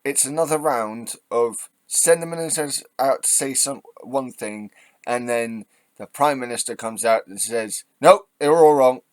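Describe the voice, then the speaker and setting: A man hosting a reaction video, giving off-the-cuff political commentary in a halting, sweary, ranting way. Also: mid-range voice, no room sound.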